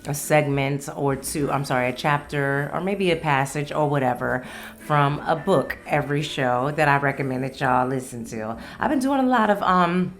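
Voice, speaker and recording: A woman's voice: low-pitched, normal speed. A woman hosting a solo podcast in a casual, candid, playful way, swearing freely. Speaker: podcast host.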